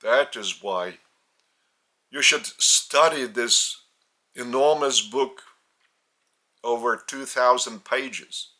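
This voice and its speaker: A man with a Lithuanian accent, a spiritual teacher speaking in short halting phrases with restarts. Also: medium pitch, pushed and bright.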